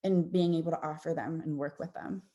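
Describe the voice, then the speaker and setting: A woman's voice: deep voice, steady tone, a little fast, dull audio. Woman audiologist giving a casual slide talk. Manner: conversational, warm explanations with frequent fillers and restarts. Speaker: audiologist presenting to parents. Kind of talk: informal presentation with slides.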